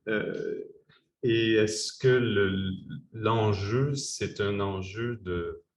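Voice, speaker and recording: Homme voix très grave, parle lentement, pose une question en panel. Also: male voice, lowish voice, drawn-out words, slight background noise.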